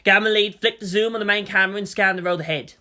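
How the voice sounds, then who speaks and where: A woman's voice: mid-range voice, quick pace, bright, forceful tone. A woman reading fan fiction aloud as a dramatic, scripted story narration.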